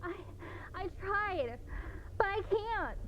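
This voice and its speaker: A woman playing a terrified, despairing woman trapped in her apartment in an audio drama, pleading and halting. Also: extremely high pitch, lively intonation, fairly bright tone, highs cut off, heavily acted. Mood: panicked pleading.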